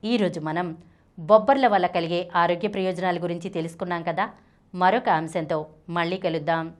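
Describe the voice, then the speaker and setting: A woman reading scripted health information as a channel narrator in a measured, clearly read-out way. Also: lowish voice, a little hiss or hum. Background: background music.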